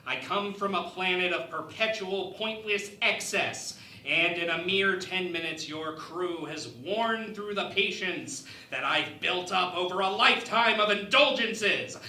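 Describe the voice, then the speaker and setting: Haughty, high-pitched alien ambassador. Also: male voice, bright, forceful tone, reverberant, heavily acted, poor audio. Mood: indignant, demanding. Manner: theatrical, deliberate, declamatory. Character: haughty, scheming alien ambassador, indignant and threatening. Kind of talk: parody sci-fi radio play acting.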